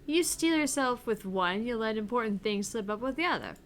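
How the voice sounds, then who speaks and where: A woman's voice: a little high, very animated, brisk, soft background music. A woman reading as Queen Bee, a cocky gamer. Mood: self-assured. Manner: defensive bravado, reading aloud. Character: stressed, cocky young woman tournament gamer.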